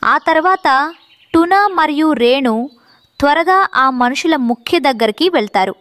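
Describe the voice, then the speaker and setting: A woman's voice: sits high, expressive, a little slow, fairly bright tone, dry recording. A woman reading scripted narration for a children's story.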